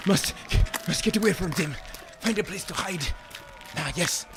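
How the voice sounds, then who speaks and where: A man's voice: high voice, takes it slowly, background noise. Flustered, fleeing holy man. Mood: panicked. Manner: flustered, muttering to himself. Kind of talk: family audio drama acting.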